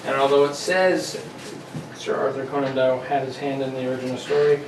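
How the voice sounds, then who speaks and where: A man casually hosting a relaxed group chat and introducing what is coming up. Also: medium pitch, brisk. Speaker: host. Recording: roomy, distant mic, noisy home recording.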